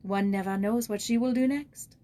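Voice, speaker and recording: A woman voicing a kindly old French madame, proper and cautious, with a formal, measured way of talking. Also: medium pitch, steady tone, warm tone, natural voice. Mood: fond, worried.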